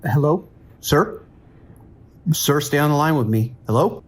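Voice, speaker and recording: A man's voice: on the high side, expressive, unhurried, slightly rough. A man playing a harried, commanding New York police dispatcher in an audio drama, giving urgent, clipped orders. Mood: controlled, firm.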